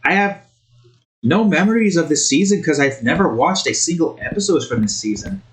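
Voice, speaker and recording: A man's voice: on the high side, expressive, moderate pace. A man hosting a game video with casual, rambling commentary in a put-on Scottish accent that wavers. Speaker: gaming video host. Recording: faint background noise.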